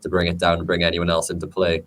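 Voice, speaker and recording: Man, deep voice, very flat delivery, podcast guest, slight background noise. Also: quick pace. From Wales. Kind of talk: casual football podcast chat.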